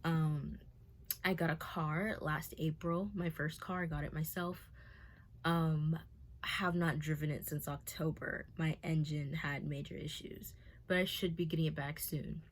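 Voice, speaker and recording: A woman's voice: low voice, steady tone, unhurried. A woman around twenty talking candidly to her camera, nervous and rambling, with many fillers and restarts. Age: 20s. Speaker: vlogger.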